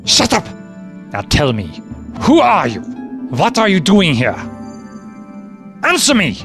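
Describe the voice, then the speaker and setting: A man acts a wartime German sergeant in an audio drama, contemptuous and mistrustful. He barks curt orders with dramatic rises and falls in pitch, over clearly audible music. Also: wildly swooping pitch, takes it slowly, full character voice. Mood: angry. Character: suspicious, barking German army sergeant, male. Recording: music under the voice.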